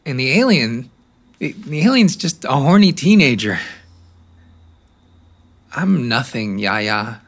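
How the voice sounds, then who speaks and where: A man's voice: mid-range voice, animated, a little slow, fairly bright tone, breathy edge, heavily acted. An actor playing an insecure, pleading substitute teacher in his forties, hesitant and stammering, in a naturalistic audio drama. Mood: dejected. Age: 40s. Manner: hesitant, stammering, repeats himself. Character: insecure, pleading forty-one-year-old male substitute teacher.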